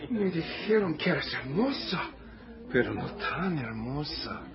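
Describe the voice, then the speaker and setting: An actor playing a suave, sentimental Cuban trumpeter and seducer with a Spanish accent and florid, wooing manner in a radio drama. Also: male voice, on the high side, big pitch swings, moderate pace, gravelly, a crowd in the background. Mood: smitten, wooing. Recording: dull lo-fi radio sound under music.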